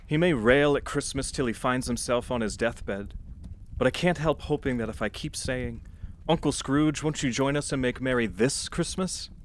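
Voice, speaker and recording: Man playing merry forgiving nephew, medium-pitched voice, jovial, earnest, audio drama. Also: normal speed, slight background noise, lightly acted. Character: cheerful, forgiving nephew, warm-hearted and merry.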